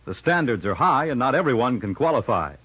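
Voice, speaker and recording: A man's voice: mid-range voice, very animated, brisk, husky. A man reading a scripted 1950s radio announcement in an earnest, emphatic manner, on a muffled old recording.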